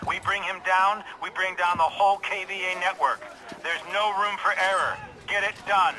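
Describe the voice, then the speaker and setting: Sharp, urgent military team leader. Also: male voice, sits high, fairly even intonation, moderate pace, rough recording. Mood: urgent, resolute. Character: stern, urgent male military team leader briefing soldiers. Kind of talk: cinematic game mission briefing.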